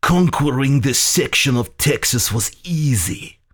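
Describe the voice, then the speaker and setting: Man acting an oily, gloating general, slow and menacing. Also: mid-range voice, animated, husky, voice pushed up. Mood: vengeful, gloating. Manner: sultry, theatrical, deliberate.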